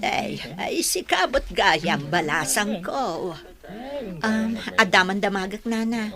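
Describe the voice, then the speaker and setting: Casting: chatty older mother figure, unhurried, coaxing, mid pitch, radio drama. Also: lively intonation, crowd noise. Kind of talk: Ilocano radio drama acting.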